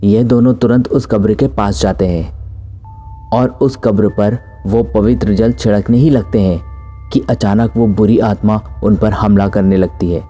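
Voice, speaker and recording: Guy narrating a horror story, dark soft-edged voice, bit low, faint music under it. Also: fairly even intonation, a little slow.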